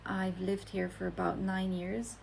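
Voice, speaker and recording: A Romanian woman in her forties, a content creator talking slowly and thoughtfully to her viewers, with many pauses and fillers. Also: medium pitch, level tone, vocal fry, a little mellow, slight background noise, low-quality recording. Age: 40s. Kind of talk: reflective casual monologue to camera.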